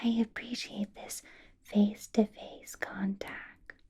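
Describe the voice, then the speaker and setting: Woman playing a slow, whispering vampire boss. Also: medium pitch, level tone. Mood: coaxing, doting. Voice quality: breathy, soft whisper. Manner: slow, deliberate, coaxing, with lingering pauses. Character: possessive, seductive female vampire boss, politely menacing. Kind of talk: ASMR roleplay, personal attention.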